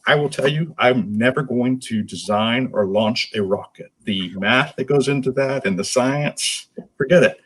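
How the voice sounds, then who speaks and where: A man's voice: medium pitch, a little slow, few pauses, fairly bright tone, husky, rough recording. A man speaking as an interview guest, answering conversationally in an earnest, explanatory way. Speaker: interview guest, nonprofit executive.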